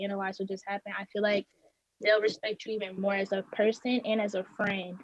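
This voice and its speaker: A woman's voice: medium pitch, no echo. A woman in her twenties, a student panelist, speaking reflectively with some hesitations. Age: 20s. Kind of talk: panel discussion. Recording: dry, dull sound.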